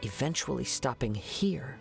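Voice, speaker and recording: A young wandering swordsman from a video game, with some creak in his mid-pitched voice. He is calm and thoughtful, measuring out formal, well-articulated lines over game music. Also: measured pace. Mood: calm, serious.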